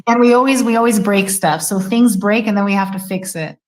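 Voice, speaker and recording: A woman's voice: medium pitch, brisk, darkish tone. A woman hosting a casual online audio chat, upbeat and chatty, laughing often. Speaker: host.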